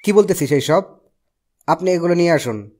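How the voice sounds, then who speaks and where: A man voicing a brisk, no-nonsense uncle in a cartoon. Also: a little high, animated, a little mellow. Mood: exasperated. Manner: brisk, bossy. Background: music.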